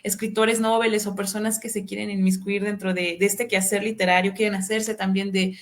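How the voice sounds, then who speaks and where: A woman's voice: medium pitch, level tone, fairly quick. A Mexican woman hosting a live interview, talking in an engaged way and often checking in with tag questions. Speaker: host.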